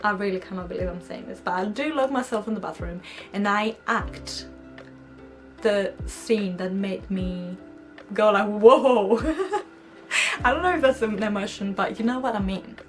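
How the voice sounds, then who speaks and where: Slow-talking young Greek woman vlogger. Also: mid-range voice, soft background music. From Greece. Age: young adult. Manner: chatty, giggly, animated quoting of herself. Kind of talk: casual storytime chat to camera.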